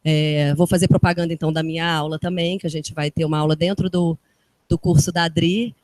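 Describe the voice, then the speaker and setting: A woman's voice: low-pitched, fairly even intonation, a little mellow, dry recording. A woman from Minas Gerais with a Minas accent, a fashion designer chatting casually and enthusiastically to a live audience. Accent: Minas Gerais Brazilian Portuguese. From Minas Gerais, Brazil. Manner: chatty, enthusiastic, quick bursts of speech. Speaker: fashion designer and guest speaker. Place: event room with an audience.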